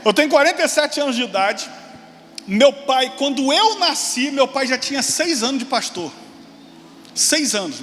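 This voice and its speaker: A pastor in his forties preaches with a very high, lively voice that leaps up in excited exclamations and drops into quick asides. His voice rings with a long reverb through a large church hall, over a faint hum of room noise. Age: 40s. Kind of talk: sermon.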